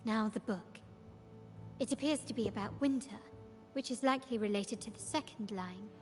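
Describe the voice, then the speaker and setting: A woman's voice: on the high side, darkish tone, soft background music, natural voice. An actress playing a composed, formal, analytical woman investigator in a video game, speaking politely and precisely. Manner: measured, polite, articulate. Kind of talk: scripted video game dialogue.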